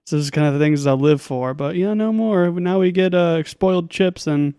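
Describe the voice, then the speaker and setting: Male podcast co-host, voice on the high side, wry and informal, lightly sarcastic chat in a dry recording. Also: moderate pace, warm tone, clean tone, dry recording. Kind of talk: casual chat.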